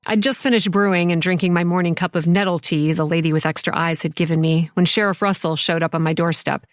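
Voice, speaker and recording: A woman's voice: lowish voice, fairly even intonation, fast talker, no echo, clean studio sound, natural voice. A woman playing a haunted, sardonic young campground manager, telling her horror story in a confiding, wry first person. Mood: brooding.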